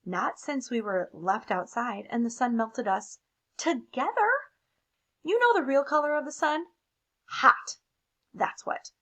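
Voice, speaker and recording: A woman's voice: a little high, animated, unhurried, fairly bright tone. A woman voicing a pair of blunt, apologetic melted crayons in a punchy, emphatic storybook read-aloud. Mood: contrite, exasperated. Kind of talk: expressive picture-book read-aloud.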